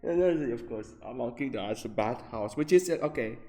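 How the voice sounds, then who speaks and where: A man giving casual, halting and rambling gameplay commentary as a streamer. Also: mid-range voice, lively intonation, dark tone. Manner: casual swearing. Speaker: gaming streamer. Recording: long echoing reverb, music behind. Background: game music.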